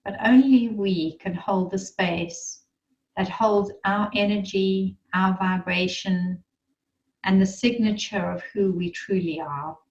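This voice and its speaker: A woman leading a guided meditation in a soothing, unhurried manner, with gentle instructions and pauses. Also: mid-range voice, recorded from a distance, highs cut off.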